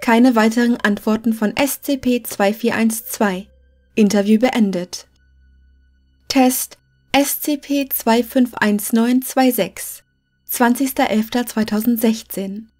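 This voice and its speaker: A woman's voice: a little high, slow, darkish tone, soft background music. A woman reading scripted clinical logs as a narrator, formal and matter-of-fact.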